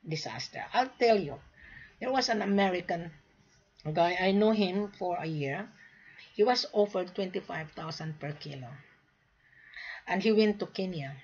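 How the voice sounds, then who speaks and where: A woman's voice: on the low side, darkish tone, breathy edge, lo-fi sound. A woman born in the Philippines, giving an emphatic, lecturing sales talk to traders and repeating her points. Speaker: gold sales agent. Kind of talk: direct-to-camera sales talk.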